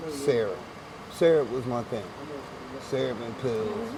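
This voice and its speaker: A man's voice: medium pitch, a little slow, a crowd in the background, low-quality recording. Philly man on a crowded street. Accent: Philadelphia. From Philadelphia, USA. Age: 40s. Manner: street slang, repeats phrases, restarts often. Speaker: interviewee. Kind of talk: street interview, casual. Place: outdoors on a busy city street. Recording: outdoor street recording with passersby.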